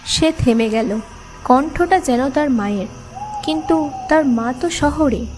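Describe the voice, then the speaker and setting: High-voiced woman narrating a ghost story. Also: unhurried, background noise. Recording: background music behind the voice.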